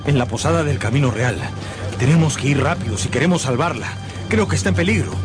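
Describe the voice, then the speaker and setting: An actor playing an earnest, passionate musketeer hero in a radio drama, urgent and theatrical. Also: male voice, medium pitch, animated, fast, over music, lightly acted.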